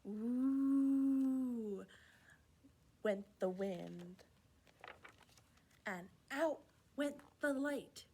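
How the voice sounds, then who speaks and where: A woman's voice: high-pitched, extremely slow, long pauses, warm tone, slight background noise. A woman storyteller reading aloud and leading singalongs for young children in a playful, encouraging, exaggerated way.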